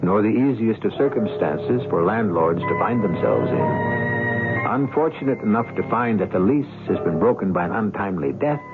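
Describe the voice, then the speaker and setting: Highly theatrical male radio host over music. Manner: ornate, wry, deliberately suspenseful. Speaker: radio drama host and narrator. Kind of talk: 1970s theatrical scripted narration. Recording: tinny 1970s radio recording. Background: loud dramatic music.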